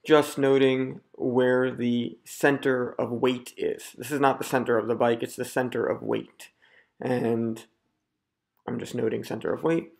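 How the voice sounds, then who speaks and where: Gravelly-voiced man explaining a DIY build. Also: medium pitch. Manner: relaxed, self-deprecating, explaining step by step. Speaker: DIY video host. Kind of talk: casual how-to narration. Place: home living room.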